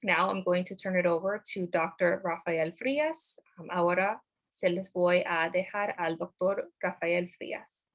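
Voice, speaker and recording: A woman's voice: on the low side, measured pace. A woman hosting an online community info session in a plain announcing way, switching between two languages. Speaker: event host. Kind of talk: bilingual event moderation.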